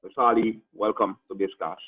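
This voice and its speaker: A man hosting a radio interview show, asking questions in a relaxed, chatty way. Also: lowish voice, moderate pace, breathy edge, phone-quality sound.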